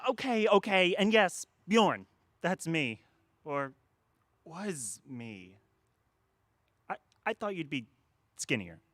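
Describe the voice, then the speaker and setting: A woman plays a bewildered, newly dead mortal in a radio play. The delivery is flustered and indignant, stumbling over words and leaping up and down in pitch, in a close, dry recording. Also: very animated, slow pace, pauses often, a bit bright, dry recording, heavily acted. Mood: uneasy, tentative. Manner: flustered, stammering, disbelieving. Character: bewildered, indignant human who has just died. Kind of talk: radio drama acting.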